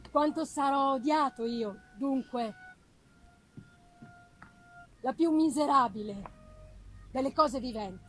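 A wretched, created giant from a gothic tale speaks through a woman reader. The delivery is drawn out and deliberate, broken into short phrases. It is bitter and pleading, with a threat beneath it. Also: high voice, low-quality recording. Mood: bitter, sorrowful. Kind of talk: dramatic audiobook-style reading of a gothic novel.

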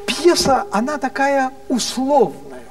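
A man playing an excitable high-voiced theatre director. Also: slow, far from the mic, faint music behind, full character voice. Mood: inspired, coaxing. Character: genteel, excitable male theatre director, eagerly improvising.

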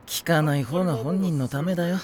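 A man's voice: a little high, fairly quick, a little mellow, close to an everyday voice. An actor playing an easygoing, kind teenage boy in an anime audio drama, speaking casually and plainly.

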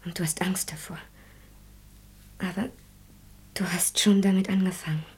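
An actress playing a gentle, devout young woman in a German radio drama, speaking in a hushed, anxious, insistent way. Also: on the low side, fairly even intonation, normal speed, breathy edge. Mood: quietly insistent.

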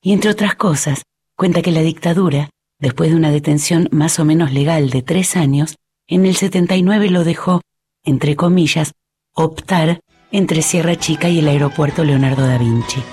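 A woman reading a listener's letter aloud on the radio in a measured, clear way. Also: deep voice, a little mellow, soft background music.

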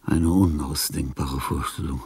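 An actor playing a lonely, dying, famous old composer in a radio drama, reflective and pompous, with a husky, gravelly voice. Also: male voice, extremely low pitch, steady tone, a little mellow, no echo, exaggerated voice. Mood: reminiscing. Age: older. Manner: declamatory, pompous, pausing, emphatic.

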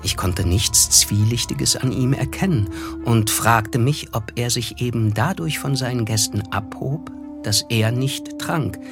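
An actor playing a reflective, reserved young man who narrates his past in measured literary German for a radio play. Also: mid-range voice, creaky, music in the background.

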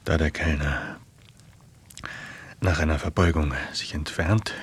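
Husky, slow-speaking ailing male writer. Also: a bass voice, fairly even intonation, exaggerated voice. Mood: haunted, tense. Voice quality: hoarse, breathy. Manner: slow, deliberate, dramatic delivery. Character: ailing brooding male Romantic writer spinning eerie tales. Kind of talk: German radio play acting.

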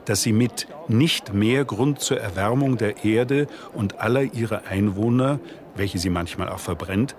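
Low-voiced man reciting in a radio play. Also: measured pace, a little hiss or hum. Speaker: narrator reading literary texts. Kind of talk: scripted literary narration in a radio play.

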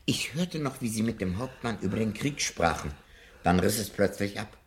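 Actor as animated low-voiced older professor. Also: male voice, lightly acted. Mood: thoughtful, earnest. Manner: emphatic, earnest, deliberate. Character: older learned professor, warm, earnest, excitable ally. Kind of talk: 1970 German radio drama acting. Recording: radio drama with faint background noise.

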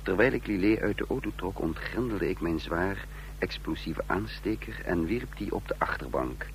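An actor playing a tense, commanding conspirator and first-person narrator in a radio drama, emphatic and dramatic. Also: male voice, sits low, expressive, normal speed. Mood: tense narration. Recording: noisy, humming old radio recording.

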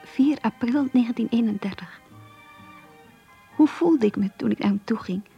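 An actress playing an earnest, lovestruck young woman confiding her diary in a radio play, in a hushed, breathy voice. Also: fairly high pitch, moderate pace, soft background music. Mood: lovestruck, uneasy. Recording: muffled, lo-fi radio play recording.

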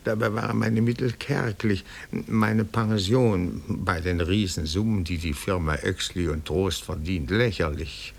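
An actor in a German radio drama playing a meticulous petty-bourgeois amateur detective, fussy, formal and elaborately polite. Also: male voice, deep voice, unhurried, creaky, hardly any pauses, full character voice. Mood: plaintive, earnest.

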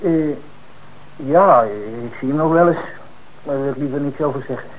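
A faintly noisy radio-play recording of a rather high male voice from an actor. He is a working-class suspect, defensive and colloquial, insisting that he is innocent. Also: moderate pace, close to an everyday voice. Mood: hesitant, guarded. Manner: colloquial, hesitant, then indignant. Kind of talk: Dutch radio drama dialogue.

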